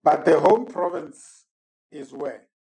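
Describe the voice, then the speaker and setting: A man's voice: fairly high pitch, lively intonation, mellow. Man moderating a podcast. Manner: measured, courteous, with frequent word repeats. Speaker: host and moderator. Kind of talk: formal podcast interview.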